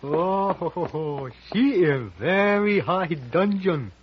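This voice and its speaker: Excitable high-voiced hotel porter. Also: male voice, slow pace, highs cut off. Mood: eager, teasing. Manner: broken English, chatty, deferential. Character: excitable Chinese hotel porter man, eager and cheeky. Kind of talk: old-time radio drama acting. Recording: noisy, band-limited old radio recording.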